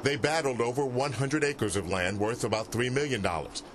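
A man narrating a TV news report in a steady, measured way. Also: medium pitch, heavy background noise.

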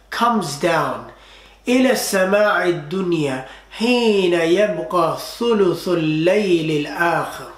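High-voiced imam preaching in echoey hall. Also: male voice, a bit bright. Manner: earnest, repeats phrases for emphasis, recites Arabic quickly. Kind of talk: Friday sermon. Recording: echoey room.

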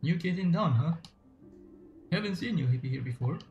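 Brief: streamer reading walrus hustler, Indonesian accent, gravelly, faint music behind. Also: medium pitch, animated, darkish tone, dull audio, close to an everyday voice. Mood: salesy. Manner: slow, halting reading with restarts. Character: street-smart walrus hustler, male, smooth-talking.

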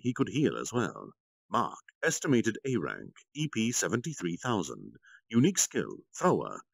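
A man reading a story aloud on a livestream, with expressive narration and dramatic, measured phrasing. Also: sits low, gravelly, no echo, low-quality recording. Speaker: storytime streamer, reader.

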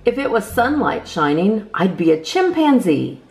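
A woman reading children's poems aloud as a storytime host, with expressive, measured phrasing. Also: mid-range voice, creaky, soft background music.

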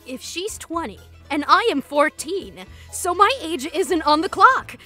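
Woman playing crude cheeky commenter, slow pace, high voice, lewd, comedic. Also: animated, pushed and bright, faint music behind, exaggerated voice. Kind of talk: comedic dramatic reading.

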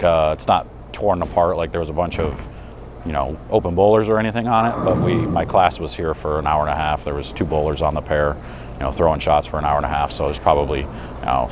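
A man's voice: very deep voice, steady tone, fast. A man in his forties giving an easygoing, unscripted product review in a casual, conversational way. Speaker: product reviewer and bowling coach. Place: indoors in a pro shop and bowling alley. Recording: clear background noise of bowling alley.

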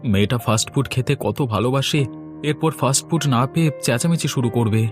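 A man's voice: mid-range voice, a little fast, lightly acted. A man playing an anxious, deferential husband in a cartoon, worried and pleading. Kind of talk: cartoon dialogue. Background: instrumental music.

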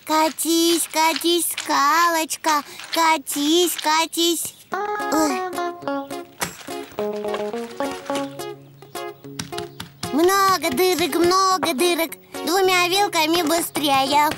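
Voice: very high voice, fairly even intonation, slow, over music. An actor playing a cheerful little donkey boy in a preschool cartoon, exclaiming and chattering in short simple phrases. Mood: excited.

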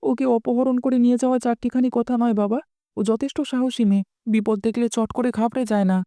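A woman's voice: a little high, level tone, quick pace, dark tone, dry recording, studio quality, natural voice. A woman voicing an earnest, protesting young village son in a cartoon. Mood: concerned, determined. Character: village blacksmith's young son, reluctant but loyal. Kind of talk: cartoon dialogue acting.

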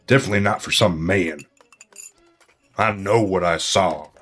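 A proud old country man, acted by a man in an audio drama, speaks in a low voice. He is folksy, earnest and a little shaken, with faint music behind him. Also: moderate pace, a bit bright. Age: older. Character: proud, rattled old small-town man, plainspoken eyewitness.

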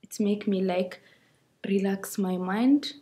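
A sixteen-year-old young woman with an Ethiopian accent, giving a personal account in halting, simple English. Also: medium pitch, dark tone, slight background noise. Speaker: school student.

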